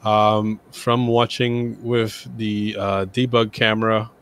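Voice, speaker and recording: A man leading a team in casual game voice chat, pausing hesitantly between phrases. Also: fairly low pitch, flat delivery, fairly bright tone, smooth. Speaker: squad leader in an online tactical game. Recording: faintly noisy online game voice chat.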